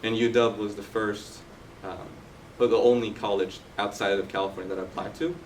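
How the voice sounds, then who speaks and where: A young man from Turkey, telling his story in a relaxed, chatty way with many fillers at a live podcast event. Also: fairly low pitch, a little fast. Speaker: guest entrepreneur. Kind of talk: casual storytelling interview. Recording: distant mic with faint background noise.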